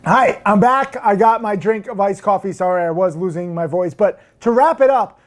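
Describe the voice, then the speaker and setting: A man's voice: high-pitched, moderate pace. Brash, emphatic man talking trading. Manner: brash, emphatic, gets loud and worked up; crude jokes, fillers like "um" and "like". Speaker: stock trading educator. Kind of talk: casual to-camera talk.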